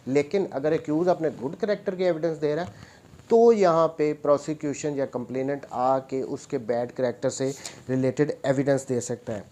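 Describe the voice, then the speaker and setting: A man's voice: on the high side, normal speed, dark tone. A man with a Pakistani accent gives an explanatory law lecture, reading statutes aloud, then rephrasing the points and mixing in English terms. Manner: repeats and rephrases points, mixes English terms into his speech.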